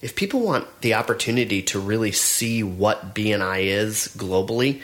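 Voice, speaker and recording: Earnest man, low-voiced. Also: animated, normal speed, a bit bright. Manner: earnest, encouraging, with frequent restarts. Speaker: podcast co-host, business network trainer. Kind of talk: casual podcast chat.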